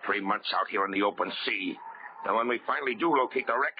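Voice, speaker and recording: An actor playing a desperate, cajoling ship's captain in a 1930s radio drama, urgent and insistent. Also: male voice, fairly low pitch, fast talker, lightly acted. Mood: grim, bitter. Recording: muffled old radio recording, heavy noise.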